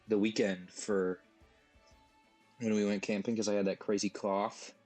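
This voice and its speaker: A man with a hoarse, worn-out voice, rambling goofily through a casual live stream while he plays a game. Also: fairly low pitch, flat delivery, a little slow, darkish tone, soft background music. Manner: rambling, goofy, jokey asides. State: hoarse, losing his voice.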